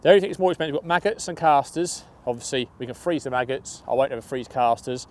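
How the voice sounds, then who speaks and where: A man's voice: mid-range voice, quick pace, a little mellow, a little hiss or hum. Man explaining bait by a lake. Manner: casual, upbeat explaining, frequent restarts. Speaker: match angler. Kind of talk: fishing tutorial. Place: outdoors by a lake.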